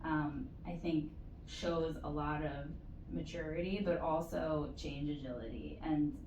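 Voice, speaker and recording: A woman giving measured, explanatory advice as an interview guest, in a conversational way with stretched emphasis. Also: sits low, fairly even intonation, a little mellow. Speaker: interview guest, university postdoctoral support staff. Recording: roomy echo, distant mic, faint noise.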